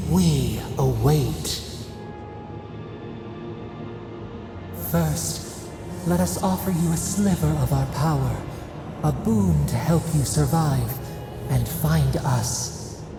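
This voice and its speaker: Game role: ancient imploring deity, heavily creaking and unhurried, in vast reverb over music. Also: medium pitch. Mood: solemn, beckoning. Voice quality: gravelly, creaky. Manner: slow, ceremonial, cryptic. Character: ancient trapped god, plural speaking, solemn and imploring. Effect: cavernous echo. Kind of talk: video game voice acting. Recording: long echoing reverb, music underneath.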